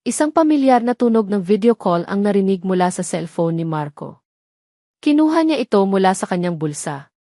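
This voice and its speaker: A woman's voice: medium pitch, big pitch swings, moderate pace, dark tone, no echo, studio quality. A woman narrating a scripted dramatic story.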